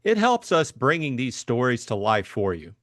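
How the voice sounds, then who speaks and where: A man's voice: medium pitch, very animated, moderate pace, fairly bright tone, dry recording, clean studio sound. A man giving a scripted introduction and narration as host of an audiobook reading.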